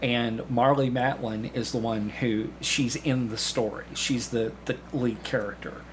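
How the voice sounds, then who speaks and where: A man speaking as a podcast guest, explaining things casually in a halting way with repeated words and false starts. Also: medium pitch, fairly even intonation, poor audio. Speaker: podcast guest, community theatre actor. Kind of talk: casual conversational explanation with anecdotes. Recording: clear background noise with room ambience.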